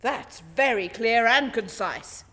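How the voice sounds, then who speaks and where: A woman playing an earnest, inquisitive gentleman sidekick in a radio play, exclamatory and old-fashioned in manner. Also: on the high side, slow, exaggerated voice. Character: earnest, excitable gentleman doctor, detective's curious sidekick. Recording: echoing hall-like reverb.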